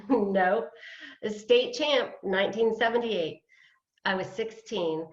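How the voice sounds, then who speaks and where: A woman's voice: medium pitch, slow pace, close to an everyday voice. A woman playing a self-deprecating, hesitant retired woman of fifty-eight, a beginner dancer, in a play read over video call. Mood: sheepish. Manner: hesitant, wry, chuckling. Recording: roomy, dull video-call audio.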